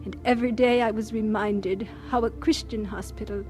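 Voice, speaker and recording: An actress playing a devoted missionary doctor, reflective and sincere, narrating and acting in an audio drama. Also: female voice, medium pitch, steady tone, moderate pace, darkish tone, faint music behind, natural voice. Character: composed, devoted female missionary doctor, reflective and warm-hearted.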